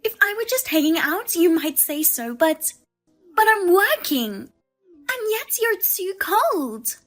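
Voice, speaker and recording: A woman voicing a demanding, scornful sister-in-law who accuses and mocks loudly. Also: extremely high pitch, big pitch swings, slow, soft background music, pitched above the performer's own voice, exaggerated voice. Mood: indignant. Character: shrill, demanding female sister-in-law, a mother.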